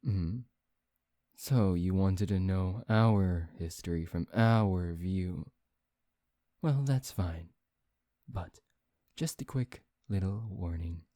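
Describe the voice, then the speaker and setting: A man playing a suave, proud vampire who teases one listener in an intimate, halting ASMR roleplay. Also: deep voice, dark tone, no echo, clean studio sound. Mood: calm, explanatory. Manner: teasing, haughty, halting, addressing one listener. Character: suave, teasing male vampire, proud and quick-tempered.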